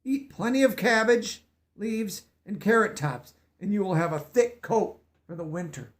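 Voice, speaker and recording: A man reading the part of a gentle, motherly female rabbit who patiently gives advice. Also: high voice, unhurried. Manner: patient, instructive.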